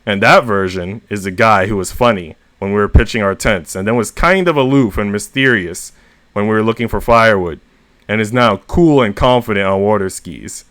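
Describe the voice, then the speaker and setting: A man with a fairly low voice reads short stories aloud in the first person. He leans into the emphasis like a storyteller hosting a read-along. The sound is dry and close to the mic. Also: normal speed, a bit bright, dry recording. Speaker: story reader and host.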